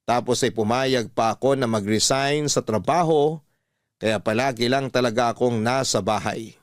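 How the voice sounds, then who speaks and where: A man's voice: medium pitch, moderate pace, no echo, studio quality. Male radio narrator reading a letter.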